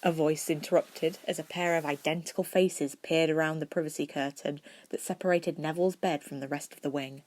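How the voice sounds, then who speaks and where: A woman with a slightly low voice narrates a story from a script. She reads expressively, in the manner of an amateur audiobook reader. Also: fairly even intonation, a little fast, hardly any pauses, darkish tone, no room sound. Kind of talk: scripted narration of fan fiction.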